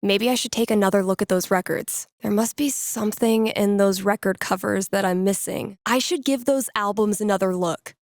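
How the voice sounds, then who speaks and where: A woman plays a young woman with a wry, sardonic streak, a character from a video game. She talks in loose slang like an easygoing music geek, at a middling pitch. The sound is very dry, with no room around the voice. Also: moderate pace, few pauses, no room sound, close to an everyday voice. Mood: pensive, mildly frustrated. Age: young adult.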